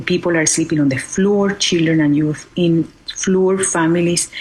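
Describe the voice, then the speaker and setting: A woman from Venezuela with a Venezuelan Spanish accent, giving earnest interview answers as a guest in non-native English with searching pauses. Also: deep voice, breathy edge, poor audio. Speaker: interview guest, charity foundation director.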